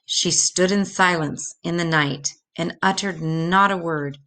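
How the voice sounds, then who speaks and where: An older woman reads a children's picture book aloud as a storyteller. Her voice sits slightly low for a woman. She takes the verse very slowly, phrasing each line deliberately for young listeners. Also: sharp tone. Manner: measured, deliberate read-aloud delivery. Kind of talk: scripted children's story reading in rhymed verse.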